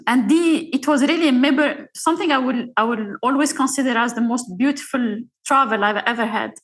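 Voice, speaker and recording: A middle-aged woman speaks as a podcast guest, her plain in every phrase. Her voice sits a little high, and she talks haltingly, stopping on fillers and starting her sentences again as she tells her stories. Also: fairly bright tone, rough recording. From Algeria. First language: Arabic. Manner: halting, with frequent fillers and restarts. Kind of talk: casual storytelling interview.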